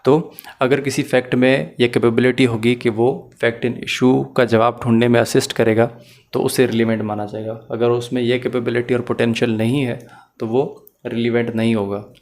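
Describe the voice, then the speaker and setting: A man's voice: mid-range voice, flat delivery, moderate pace, a little mellow. A man giving a methodical, explanatory lesson as a law lecturer, mixing in English phrases.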